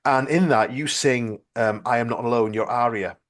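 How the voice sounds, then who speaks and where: A man hosts a casual fan interview in a voice of average pitch. He feels his way through his questions haltingly. His manner is modest and self-deprecating. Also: a crowd in the background. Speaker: podcast host, interviewer.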